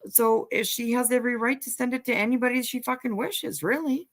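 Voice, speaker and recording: A woman's voice: on the high side, a little fast. A woman hosting a casual livestream commentary, talking bluntly and emphatically, repeating phrases for emphasis and swearing casually. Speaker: livestream host.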